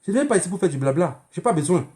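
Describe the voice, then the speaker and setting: Man, Cameroonian accent, fairly high voice, political livestream monologue, emphatic, admonishing, repetitive phrasing, rhetorical questions. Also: big pitch swings, racing, mellow, slight background noise. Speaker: online activist livestreamer.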